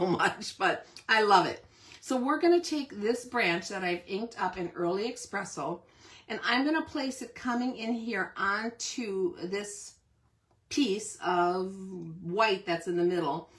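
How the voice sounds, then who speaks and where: A woman with a slightly hoarse voice who keeps clearing her throat, giving a chatty step-by-step live card-making demonstration. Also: medium pitch, fairly bright tone. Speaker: craft demonstrator.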